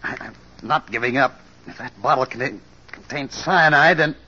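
A man's voice: mid-range voice, moderate pace, sharp tone. A man playing a shrewd, hard-nosed sleuth, clipped and commanding, in mid-century radio drama style. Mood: urgent, commanding. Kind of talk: 1940s-50s radio detective drama acting. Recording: noisy, muffled vintage radio recording.

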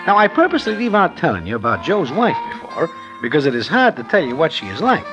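An actor playing a streetwise Broadway narrator in a 1940s radio drama, telling the tale in slangy, roundabout deadpan. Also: male voice, on the high side, quick pace, poor audio. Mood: wry. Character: wry Broadway storyteller man, streetwise. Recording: old radio broadcast with music.

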